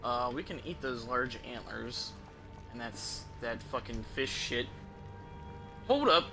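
A man's voice: mid-range voice, animated, slow, over music, rough recording. A young man casually commentating on his game live, rambling and swearing as he thinks aloud. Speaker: game streamer.

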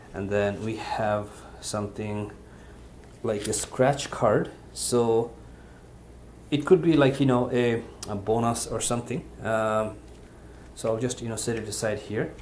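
A man's voice: on the low side, unhurried, a little mellow. Man reviewing gadgets over noticeable background noise. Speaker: product reviewer. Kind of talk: casual unboxing and review commentary. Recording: clear background room noise.

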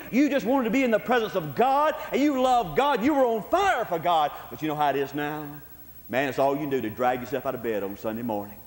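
A man with a high-set voice preaches to a congregation in a large, reverberant hall. He is emphatic and exhortative, hammering key phrases by repetition. His address is direct and folksy, like plain conversation turned into a sermon. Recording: echoey hall with long reverb.

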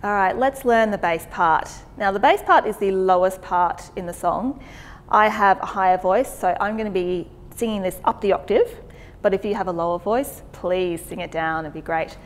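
A woman in her thirties with an Australian accent, teaching a song by singing short phrases slowly and explaining between them. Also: medium pitch. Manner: sings phrases slowly for echoing back, then explains briskly. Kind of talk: singing lesson, sung phrases and spoken instructions. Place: plain studio with grey backdrop.

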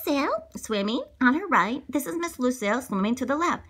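A woman's voice: sits high, expressive, fairly quick, a bit bright, breathy edge, pitched above the performer's own voice, full character voice. A woman voicing a dull, stuffy male school principal from a storybook, formal and corny. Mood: droning.

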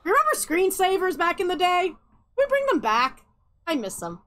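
High-voiced woman ranting over a game. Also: huge pitch swings, moderate pace, fairly bright tone. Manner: ranting, sarcastic, swears freely. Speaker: streamer. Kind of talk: casual let's-play commentary. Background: game music and cutscene audio.

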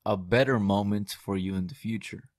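A man's voice: on the low side, normal speed, no room sound. A young adult man hosting a podcast, asking questions casually into a studio mic in a small room at home. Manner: relaxed, quiet affirmations. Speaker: podcast host. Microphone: studio mic on boom with headphones.

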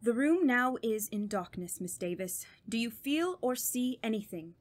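A woman playing a grave, authoritative doctor of the supernatural in a horror radio drama, speaking deliberately and giving clipped orders. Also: on the high side, lively intonation. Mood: commanding. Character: commanding, grave female doctor investigating the supernatural. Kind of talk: old-time radio horror drama acting.

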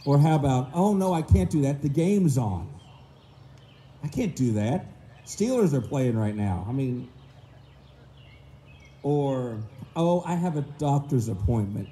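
A hoarse man preaching outdoors into a stand mic, his voice very dark and mellow. He slows down to stress key words and breaks into quick mimicked excuses. Faint birdsong and open-air hush sit behind him. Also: medium pitch, slight background noise. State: hoarse, voice gone low. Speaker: minister. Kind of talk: sermon. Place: open park pavilion outdoors. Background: faint outdoor ambience with birds.

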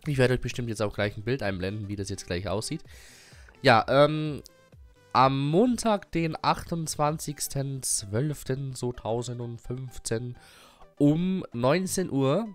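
A man's voice: medium pitch, takes it slowly, faint music behind. Young man with a cold, rambling update. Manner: rambling, many fillers and restarts, frequent chuckles. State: has a cold. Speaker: gaming YouTuber and streamer. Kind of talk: casual channel update.

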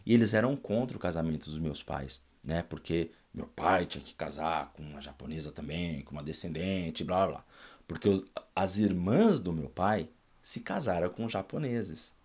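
A Brazilian man in his forties with a slightly low voice and a São Paulo accent, chatting straight to a close camera in a small room at home. He tells his story loosely and with energy, and often ends a phrase with a quick "tá?" or "né?". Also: husky. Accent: São Paulo Brazilian Portuguese. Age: 40s. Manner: animated, gestures a lot, tags lines with "tá?" and "né?". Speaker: vlogger. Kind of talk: casual personal storytelling.

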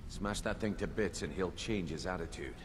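An actor playing a shrewd, confident thief recruiter in a fantasy video game, sly and assured. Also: male voice, low voice, moderate pace, heavy background noise, lightly acted.

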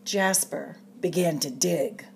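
A woman reading a picture book aloud to children in an expressive, dramatic storyteller's way, drawing out suspenseful phrases. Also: low voice, takes it slowly, creaky, a little hiss or hum. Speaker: storytime reader at a public library.

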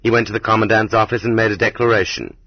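A man reading an audiobook as scripted literary narration, in a measured, dramatic manner. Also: fairly low pitch, flat delivery, breathy edge, no echo. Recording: slightly dull, muffled audiobook recording.

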